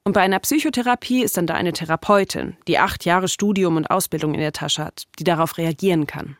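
A woman's voice: lowish voice, fairly quick, no echo, clean studio sound. A woman hosting a narrative podcast, reading scripted storytelling in a conversational way with short dramatic sentences. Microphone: close studio microphone.

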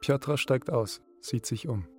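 A male actor plays a Polish bus driver in his thirties. He is an unruffled man with a medium-pitched voice, narrating in a radio play over music. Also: a little slow, natural voice. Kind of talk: bilingual radio drama narration.

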